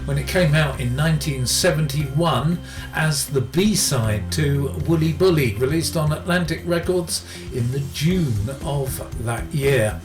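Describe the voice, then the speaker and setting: A man hosts a soul radio show in a fairly high male voice. His delivery is informal and a little hesitant, with careful attention to record details. Music plays behind him in a roomy sound. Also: measured pace. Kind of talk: casual music radio presenting with record facts.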